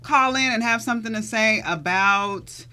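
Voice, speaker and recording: A woman's voice: a little high, moderate pace, sharp tone. A woman from Texas, hosting a casual radio talk show and chiming in with upbeat affirmations. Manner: upbeat, affirming, chimes in often. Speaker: host.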